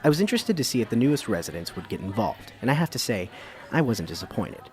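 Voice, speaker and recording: A man acts an inquisitive radio documentary host in a parody of public radio. The voice is fairly low with lively intonation, measured and agreeable. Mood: wry narration.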